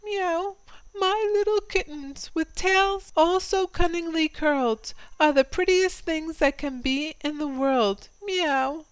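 A woman voicing a gentle mother cat, cooing softly and high over her kittens. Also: slow, no room sound, poor audio. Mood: tender.

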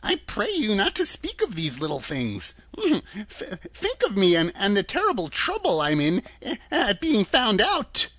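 A man's voice: high voice, huge pitch swings, measured pace, few pauses, a bit bright, dry recording, pitched above the performer's own voice, exaggerated voice. A man voicing a meek little old humbug wizard in a storybook, trembling and stammering fussily. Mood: flustered. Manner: stammering, fussy, chuckling.

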